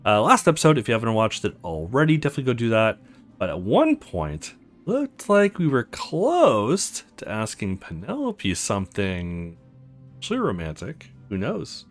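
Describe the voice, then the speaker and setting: A man hosting a let's play, giving informal, animated gaming commentary and reading game text aloud. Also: fairly low pitch, moderate pace, faint music behind.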